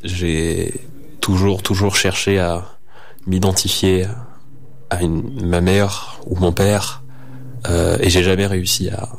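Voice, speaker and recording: A man speaking slowly and deliberately in an interview, pausing with fillers as he reflects. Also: low voice. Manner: slow, deliberate, hesitant. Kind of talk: reflective testimony.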